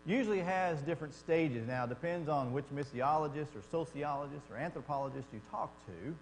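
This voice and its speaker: A man's voice: on the high side, fairly quick. A man from Texas with a Texan accent, teaching a church Bible class in a folksy, conversational way and asking the class questions. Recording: echoey hall with mains hum.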